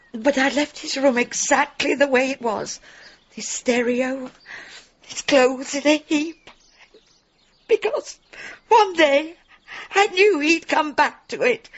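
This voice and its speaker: A woman's voice: high voice, muffled recording. An actress playing a grieving, guarded middle-aged village mother in a radio drama, brittle and emotional.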